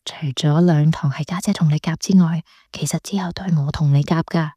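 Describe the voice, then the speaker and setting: An actress as a mellow, confiding young woman. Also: sits low, steady tone, fairly quick, slightly rough, dry recording. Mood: secretive, confiding. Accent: Hong Kong Cantonese. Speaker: voice actor. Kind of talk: radio drama acting, confiding.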